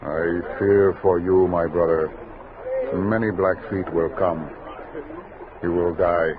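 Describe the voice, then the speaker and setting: An actor in a 1960s radio western playing a grave, loyal guide who speaks solemn, clipped, broken English. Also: male voice, sits low, steady tone, takes it slowly, a loud crowd. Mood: grave warning. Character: grave, loyal male Nez Perce guide, fearful for his friend. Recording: muffled old radio recording, background noise.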